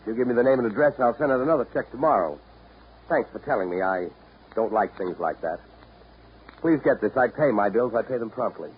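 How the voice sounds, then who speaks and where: A man's voice: mid-range voice, lively intonation, brisk, natural voice. An actor playing a stiff, proud, touchy man in a 1930s radio drama, speaking in a formal, clipped, emphatic way. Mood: indignant. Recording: muffled, faintly noisy 1930s radio broadcast.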